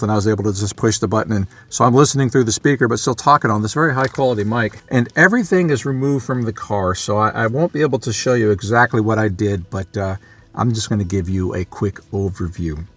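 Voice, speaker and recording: A man's voice: fairly low pitch, fast talker, fairly bright tone. A man presenting a casual, unscripted vlog explainer, talking conversationally and thinking aloud as he goes. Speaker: amateur radio hobbyist and video presenter.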